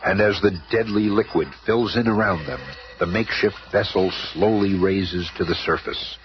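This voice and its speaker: An actor playing a dramatic narrator of a 1970s superhero radio serial. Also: male voice, deep voice, normal speed, natural voice. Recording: very noisy old radio recording.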